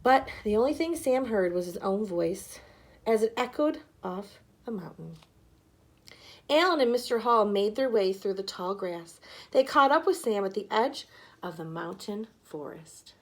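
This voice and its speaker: A woman's voice: medium pitch, animated, measured pace. A woman reading a scripted children's story aloud as narrator. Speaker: storybook reader.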